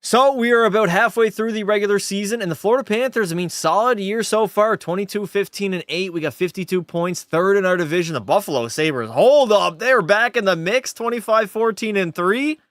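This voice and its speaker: A man's voice: high-pitched, a little fast, few pauses, a bit bright, no echo, studio quality. Profane young Canadian man streaming games. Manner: loose, profane banter, reading chat aloud. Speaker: gaming streamer. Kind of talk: casual live-stream commentary.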